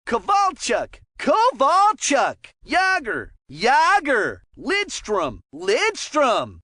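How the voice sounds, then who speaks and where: An actor playing an excitable cartoon hockey commentator, calling out player names with punchy, drawn-out delivery. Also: very high voice, no room sound, clean studio sound, heavily acted. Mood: measured announcing. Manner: drawn-out, punchy name calls. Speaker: sports game commentator.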